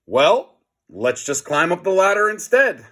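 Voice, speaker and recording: A man's voice: high voice, big pitch swings, measured pace, bright, forceful tone, pitched above the performer's own voice, exaggerated voice. A man voicing a pompous, cheerful, dim-witted superhero from a children's storybook, declaiming with grand earnestness. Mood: eager.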